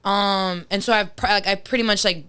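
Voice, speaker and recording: A woman's voice: medium pitch, fairly even intonation, normal speed, bright, forceful tone, clear, resonant tone. A young woman hosting a casual podcast chat, reflective and frank, with lots of fillers and some swearing. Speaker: podcast host. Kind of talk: casual conversation.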